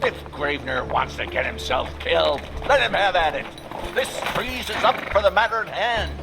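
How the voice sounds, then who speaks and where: A man's voice: high-pitched, animated, moderate pace, gravelly, noisy background. An actor playing a cranky, spirited aging father and veteran monster hunter in a video game, American, folksy and dismissive. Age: older. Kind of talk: dramatic video game acting.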